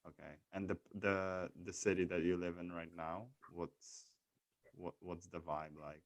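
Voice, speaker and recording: A man's voice: low voice, flat delivery, unhurried, no echo. A man interviewing in casual conversation, asking curious questions with lots of stumbles and restarts.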